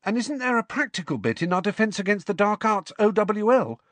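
An incredulous teenage girl in an audiobook, voiced by a man, set high with very animated pitch. She is bold and insistent, pressing her questions with indignation. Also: a little fast, no echo. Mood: questioning. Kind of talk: audiobook character voice.

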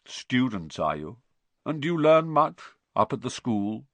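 Man playing solemn male centaur, deep, sorrowful, slow portentous delivery, storybook fantasy, dry close sound. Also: sing-song, a bit bright, no room sound. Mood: mournful, polite.

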